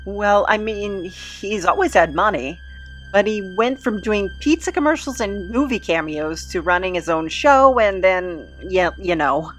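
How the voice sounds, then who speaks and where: A bumbling henchman type played by a woman is deferential, sheepish and hesitant. The mid-pitched voice sits over music in a comedy skit. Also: lively intonation, unhurried, lightly acted, rough recording. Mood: sheepish, defensive. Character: bumbling, deferential underling of a dark master. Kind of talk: scripted comedy podcast skit.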